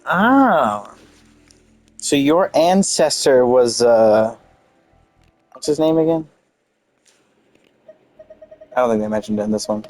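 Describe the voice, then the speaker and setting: A man giving casual, informal play-through commentary as a game streamer, animated and incredulous. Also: medium pitch, slow, pauses often, soft background music.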